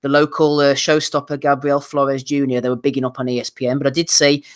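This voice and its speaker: A man's voice: mid-range voice, monotone, fast talker, clean tone, no echo, clean studio sound. A man hosting a casual podcast, steering the talk between topics with teasing asides. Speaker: podcast host. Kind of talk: casual podcast chat about boxing.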